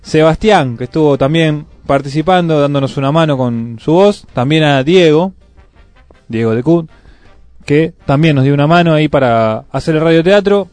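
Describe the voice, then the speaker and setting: A man's voice: fairly high pitch, normal speed, darkish tone, no room sound, soft background music. A man with a Buenos Aires area Argentine accent hosting local radio in an informal, self-correcting way. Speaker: radio host.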